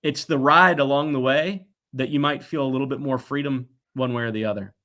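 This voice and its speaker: A man's voice: mid-range voice, steady tone, a little fast, sharp tone. Guy in his 40s answering interview questions, mid-range voice, earnest and emphatic, kinda reflective. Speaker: guest, toy company executive.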